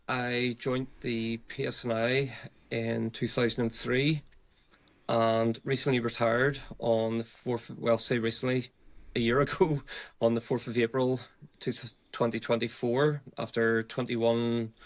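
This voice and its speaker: Male, 40s, Northern Irish, middle pitch, reflective interviewee with halting conversational delivery. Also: steady tone. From Northern Ireland. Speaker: podcast guest, retired police officer.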